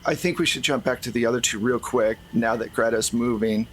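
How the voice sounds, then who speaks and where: A man's voice: medium pitch, fairly even intonation, fairly quick, fairly bright tone. A man acting as game master, narrating a tabletop role-playing game to the players. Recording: faint background noise.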